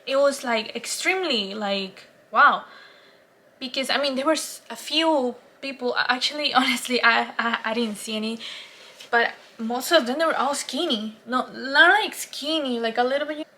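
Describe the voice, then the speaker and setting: A woman's voice: sits high, normal speed, bright, forceful tone. A woman from Colombia with a Colombian Spanish accent, Spanish as her first language, chatting casually in a rambling vlog with frequent laughs and restarts. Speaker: vlogger.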